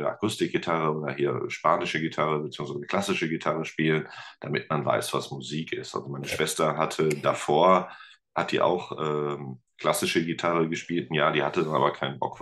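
A man's voice: very deep voice, a bit bright. A man talking casually as an interview guest, rambling a little as he thinks aloud. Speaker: guest, teacher and musician.

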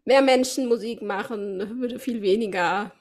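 A woman's voice: mid-range voice, unhurried. A woman hosting a casual podcast interview, laughing often and speaking encouragingly. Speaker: podcast host.

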